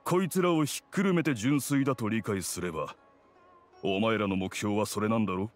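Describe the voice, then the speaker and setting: A man voicing a gruff, proud blacksmith in a video game, speaking in a blunt, measured, rough-spoken way. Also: fairly low pitch, lightly acted. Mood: solemn, earnest. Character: gruff, proud, no-nonsense male blacksmith craftsman. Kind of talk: Japanese video game voice acting. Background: background music.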